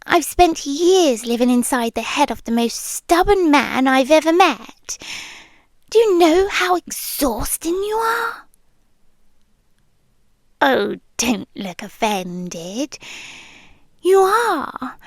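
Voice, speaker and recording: A woman acts out a possessive, teasing phantom girlfriend with a British accent. Her voice is high and soft, often slipping toward a whisper. She takes her time, lingering in slow, deliberate phrases, very close and dry on the microphone in an intimate ASMR roleplay. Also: very animated, no echo, heavily acted. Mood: tender, confessing. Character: possessive, teasing yandere girlfriend spirit living in listener's head.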